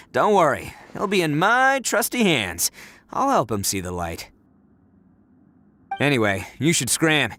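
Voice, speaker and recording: A boisterous big-hearted young hero in a game, kinda high, voice all over the place. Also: male voice, sing-song, slow, long pauses, sharp tone, slight background noise, full character voice. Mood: awkward, confident. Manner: boisterous, showy, self-satisfied. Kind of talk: video game voice acting.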